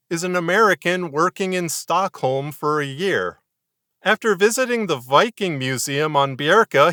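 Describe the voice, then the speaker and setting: A man narrates a scripted lesson on writing in a bright, forceful voice. The sound is very dry and close. He is articulate and measured, stressing his points like a podcaster who writes fiction. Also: on the high side, smooth, no room sound. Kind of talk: scripted instructional narration to camera.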